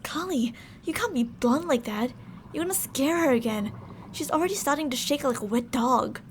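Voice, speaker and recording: A woman's voice: high voice, normal speed, slight background noise, close to an everyday voice. A woman playing a controlling mad scientist in an ASMR audio drama, sugary and polite but ready to turn stern and commanding. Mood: smug, coaxing. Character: controlling female mad scientist, sweet-talking, fretful captor.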